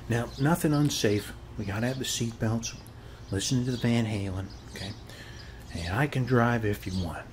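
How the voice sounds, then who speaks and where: A man's voice: lowish voice, a little mellow, a little hiss or hum. An American man performing an ASMR roleplay as a chatty, boastful suburban neighbor, rambling in a folksy way and often checking in with the listener.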